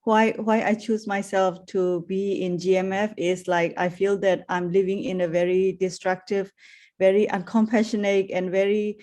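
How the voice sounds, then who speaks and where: Female participant from Myanmar, halting restarts, mid-pitched voice. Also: little pitch movement, darkish tone. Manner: halting, repeating words. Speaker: workshop participant.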